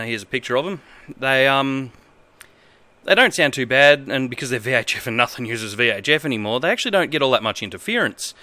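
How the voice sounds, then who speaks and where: A man's voice: medium pitch, sharp tone, no room sound. An Australian man in his thirties, a streamer talking casually and reading aloud in an amused, emphatic way. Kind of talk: casual livestream, halting comedic read-aloud. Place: indoor room at a desk.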